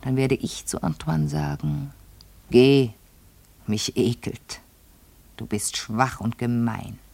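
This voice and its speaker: A woman's voice: very deep voice, slow, warm tone, exaggerated voice. An actress playing a vain, obsessive lady fearful of ageing, speaking theatrically and intensely in a literary radio play. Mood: scornful. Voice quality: husky, breathy, creaky. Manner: theatrical, intense, addressing a servant.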